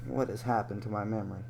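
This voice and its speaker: A man's voice: on the low side, creaky, noisy background. A man giving casual, profane and sarcastic reaction commentary over a video clip.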